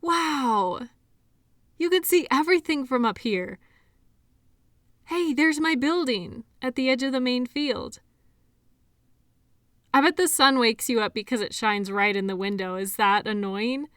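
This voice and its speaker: Woman doing a shy awkward medic lady for an audio roleplay, highish voice, slow n rambly, kinda apologetic. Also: fairly bright tone, dry recording, clean studio sound. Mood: flustered, impressed. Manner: hesitant, rambling, apologetic, stammering. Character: shy, awkward, kindly female medical worker visiting a barracks. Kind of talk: audio roleplay acting, addressing the listener.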